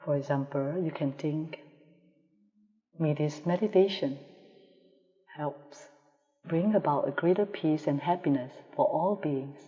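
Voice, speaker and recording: A woman leading a guided meditation as a teacher, calm and measured, with pauses between phrases, recorded over a live video call. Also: low-pitched, muffled recording. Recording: reverberant hall-like sound, live video call.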